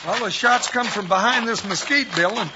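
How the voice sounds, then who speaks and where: An actor playing a talkative, excitable, good-natured cowboy sidekick with a drawl in a western radio drama. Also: male voice, sits high, normal speed, lightly acted. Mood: wary, grumbling. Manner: drawling, ungrammatical frontier talk. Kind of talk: 1950s western radio acting. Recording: dull, hissy old radio recording.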